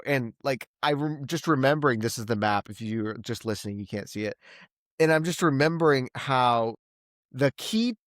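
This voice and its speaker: A man with a mid-pitched voice talks casually as a review show host. He thinks out loud, often stopping to rephrase. The sound is dry, with very little room in it. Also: dry recording. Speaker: podcast host reviewing books. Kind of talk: casual discussion.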